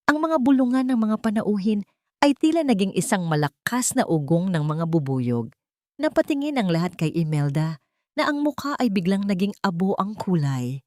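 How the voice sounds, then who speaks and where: A woman's voice: mid-range voice, big pitch swings, moderate pace, darkish tone, no echo, studio quality. A woman narrating a scripted romance drama in a dramatic, literary storytelling manner.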